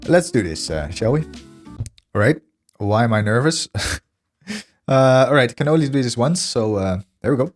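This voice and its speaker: A hoarse man who is losing his voice narrates his own sim racing footage in an analytic, self-deprecating way. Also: mid-range voice, expressive, normal speed, long pauses. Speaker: sim racing video host. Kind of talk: commentary over gameplay footage. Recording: voice-over with music underneath. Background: background music.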